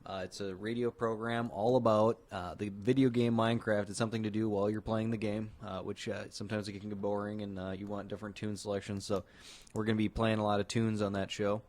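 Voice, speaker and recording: A man's voice: fairly low pitch, level tone, fast talker, a little mellow. A man co-hosting a stream show, chatty and hesitant with many fillers. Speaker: co-host. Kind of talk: casual live-stream talk.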